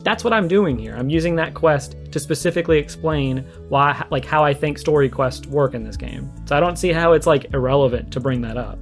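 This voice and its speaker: Guy with kinda high voice doing response vid commentary, emphatic and argumentative, music in the back. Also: brisk, creaky, hardly any pauses. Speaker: video essayist responding to another creator. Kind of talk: animated commentary in a response video.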